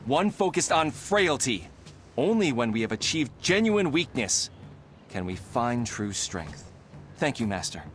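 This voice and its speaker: Overeager, wildly expressive martial arts disciple. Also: male voice, medium pitch, measured pace, slight background noise. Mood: awed realization. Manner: grandiose, theatrical, fawning. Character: overeager devoted young male martial arts disciple, earnest and dramatic. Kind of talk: video game character acting.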